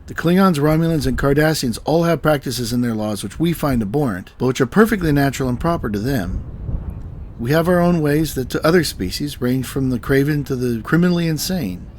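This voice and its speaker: A starship captain played by a man in a sci-fi audio drama, measured and articulate, with an ordinary mid-range voice. He is stern and weary, and there is faint ambient noise behind him. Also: mid-range voice, animated, darkish tone, natural voice. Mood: stern, reproving. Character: stern, weary male starship captain, dryly self-doubting. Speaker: actor playing a captain. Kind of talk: science fiction audio drama acting.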